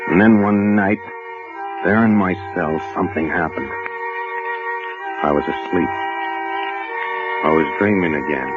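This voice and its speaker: A low-voiced, haunted ex-convict in an old radio suspense play. He speaks haltingly, nervous and brooding, on a muffled vintage recording with music behind him. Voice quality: low-pitched, hesitant voice. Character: anxious, guilt-haunted ex-convict narrating his obsession.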